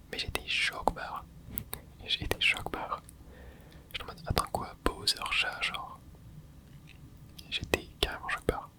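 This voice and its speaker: A man in his twenties, whispering softly as he comments on his gameplay for viewers. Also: very high-pitched. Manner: soft whispering, with excited asides to viewers. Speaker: gaming ASMR creator.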